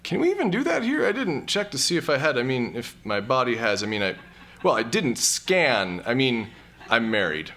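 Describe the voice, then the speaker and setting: A man's voice: medium pitch, sing-song, normal speed, fairly bright tone. A man playing an awkward, melancholy, exasperated character in an audio comedy-drama, with a hesitant, stammering delivery. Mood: flustered.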